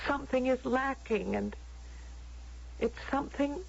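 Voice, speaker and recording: An actress in an old radio drama playing an unhappy, defiant, lovesick young woman, plaintive and halting. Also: high-pitched, creaky, heavily acted. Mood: aching, sorrowful. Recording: dull lo-fi vintage radio drama recording.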